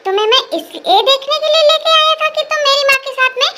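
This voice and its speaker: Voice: very high voice, quick pace, heavy background noise. A performer voicing an indignant, dutiful grown son who scolds in defence of his mother in a cartoon family melodrama. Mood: angry, accusing. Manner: scolding, accusatory, protective of mother.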